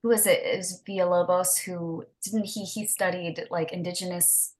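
A woman's voice: lowish voice, fairly even intonation, moderate pace. Encouraging woman interviewer. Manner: encouraging, frequent affirmations. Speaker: interviewer host. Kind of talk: conversational interview.

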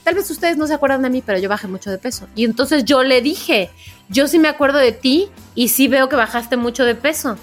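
Mexican woman with a slightly high voice. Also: a little fast, soft background music. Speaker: podcast co-host. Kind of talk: casual chat.

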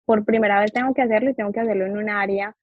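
A woman's voice: mid-range voice, very fast, narrow, tinny audio. A young woman whose first language is Spanish, a psychologist guest telling her story in a casual interview and animatedly acting out the people she quotes. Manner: animated, acts out quoted dialogue. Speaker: psychologist and behavioral therapist guest.

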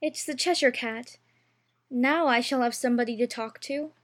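A polite, earnest young girl in a storybook, played by a woman. Her voice is high and her manner prim and plain-spoken, as in an audiobook performance. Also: moderate pace, lightly acted. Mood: pensive. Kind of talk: full-cast audiobook acting.

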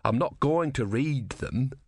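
A man's voice: mid-range voice, expressive, a little slow, no echo. A man voicing an earnest young male novice priest in an audiobook story, hesitant and plain-spoken. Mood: matter-of-fact.

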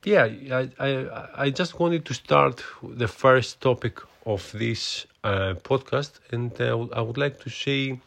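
A man's voice: medium pitch, slow, gravelly, hardly any pauses, a bit bright. A man with a Greek accent, hosting a solo podcast and talking with many hesitations and fillers. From Greece. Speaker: podcast host.

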